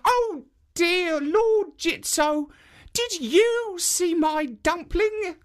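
A shrill, giggly old woman. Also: animated, extremely slow, heavily acted. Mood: pleading. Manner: shrill, sing-song cries. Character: giggling old woman chasing her dumpling.

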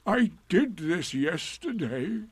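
A man voicing a gloomy, sardonic old donkey from a children's storybook, with a drawn-out, lugubrious delivery. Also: high-pitched, big pitch swings, breathy edge, no room sound, voice pushed up, exaggerated voice. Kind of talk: children's storybook character reading.

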